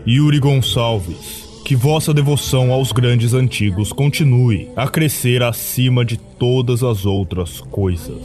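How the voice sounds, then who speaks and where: Casting: male narrator as grave cult leader, lowish voice, unhurried ritual delivery, music underneath. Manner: ceremonial, reverent, grandiose. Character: solemn male cult priest of ancient dark gods. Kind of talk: occult sermon in horror podcast.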